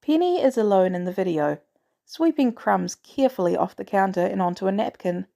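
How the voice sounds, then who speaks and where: A woman's voice: mid-range voice, expressive, a little fast, vocal fry, dark tone. A woman reading a scripted story aloud as a narrator. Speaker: audiobook narrator of fan fiction.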